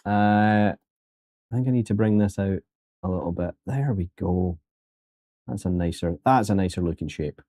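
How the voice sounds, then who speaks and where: A man with a fairly low, mellow voice talks his viewers through a sculpting session on a live stream. He is chatty and easygoing, cracks small jokes and calls his viewers folks. The sound is close and dry. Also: measured pace, pauses often, no room sound. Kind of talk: casual live-stream tutorial commentary.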